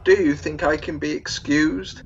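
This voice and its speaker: A man's voice: fairly high pitch, fairly even intonation, measured pace, husky, dull audio, full character voice. A man playing a meek, polite elderly medic in a comic radio play, genteel, fussy and apologetic.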